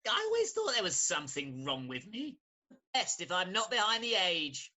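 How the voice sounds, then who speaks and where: A woman's voice: on the low side, very animated, moderate pace, bright, forceful tone, exaggerated voice. A woman playing a cheeky, unruly posh Victorian youth of nineteen in a comic stage reading, boyish. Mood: put out.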